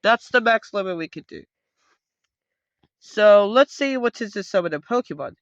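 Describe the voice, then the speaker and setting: High-voiced man explaining, dull lo-fi sound. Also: lively intonation, moderate pace, no room sound. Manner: loud, rambling, self-correcting. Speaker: host of a card game tutorial video. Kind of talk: casual unscripted how-to explanation.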